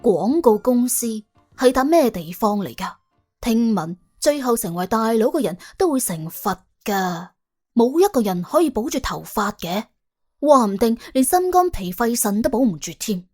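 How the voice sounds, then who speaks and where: A woman's voice: on the high side, sing-song, normal speed. A woman with a Cantonese accent narrating an audiobook as dramatic, wry storytelling. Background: soft background music.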